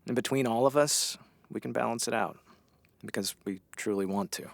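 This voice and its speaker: A man's voice: mid-range voice, normal speed, vocal fry, dry recording, natural voice. A man playing a wry, loyal radio station colleague in a comedy audio drama, with a teasing, sardonic manner. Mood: reassuring.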